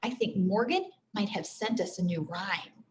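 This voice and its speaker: A woman voicing an eager, excitable seven-year-old girl while reading a children's storybook aloud. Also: medium pitch, unhurried, a little hiss or hum, natural voice. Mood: keen. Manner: bright exclamations and urging.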